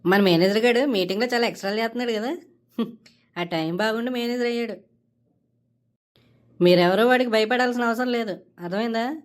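A woman's voice: a little high, fairly quick, long pauses, a little hiss or hum, natural voice. A woman voicing a brash, entitled and scheming young male office worker in a comedy cartoon, talking casually and cockily. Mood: boastful, smug. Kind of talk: Telugu comedy cartoon dialogue.

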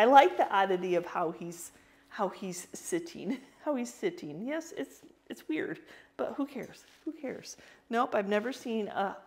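A woman, a reseller chatting casually on her vlog as she shows her auction finds, rambling with fillers and small laughs. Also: on the high side. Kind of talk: casual vlog show-and-tell.

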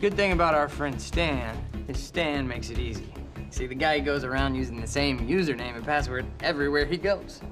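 A man's voice: mid-range voice, music in the background, close to an everyday voice. A man in his thirties with an American accent playing a smug, sarcastic computer hacker in a screen thriller. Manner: smug, swearing, drawn-out emphasis. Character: cocky, sarcastic male computer hacker bossing his assistant. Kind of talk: live-action thriller screen acting.

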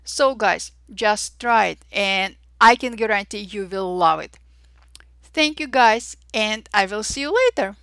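A woman with an Armenian accent hosting a software tutorial, cheerful and chatty with frequent fillers and little exclamations. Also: mid-range voice, expressive, measured pace, no echo, studio quality. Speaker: Photoshop tutorial host. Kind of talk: screen-recorded software tutorial.

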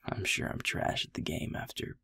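A man playing a sweet, easygoing young werewolf boyfriend in an intimate audio roleplay, speaking softly and haltingly in a gravelly, breathy voice. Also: dry recording, studio quality, natural voice. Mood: playful, affectionate. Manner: soft, breathy, halting and affectionate.

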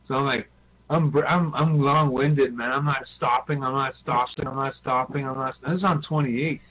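Man, medium pitch, casual hobbyist storyteller, repetitive and sweary, slightly noisy voice-chat line. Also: fairly even intonation, normal speed, fairly bright tone. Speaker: CB radio hobbyist. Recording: noisy online voice chat with hum.

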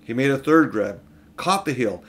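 A middle-aged man reading a children's storybook aloud in expressive narration. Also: mid-range voice, normal speed. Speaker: audiobook reader. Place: small room at home, indoors.

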